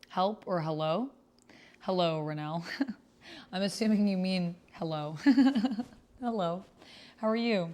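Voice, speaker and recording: Lower-voiced woman streaming a text roleplay. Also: takes it slowly, long pauses, darkish tone. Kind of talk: casual livestream chat with typed roleplay read aloud.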